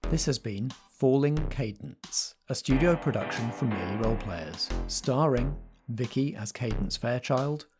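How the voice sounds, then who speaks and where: A man delivers scripted end credits as a podcast announcer. Guitar theme music plays clearly behind his voice. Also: medium pitch, expressive, measured pace, hardly any pauses.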